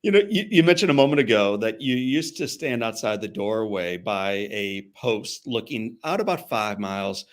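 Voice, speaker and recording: A man hosting a podcast who asks thoughtful, drawn-out questions and stumbles over the first words. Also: lowish voice, lively intonation. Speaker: podcast host. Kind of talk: interview questions.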